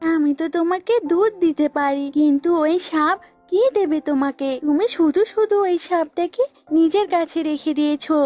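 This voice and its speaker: A sulky, jealous goat from a children's cartoon, in a high voice. It scolds and grumbles like a neglected pet, with music playing behind. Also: female voice, normal speed, a little mellow. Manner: scolding, complaining. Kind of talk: cartoon voice acting in a children's fable.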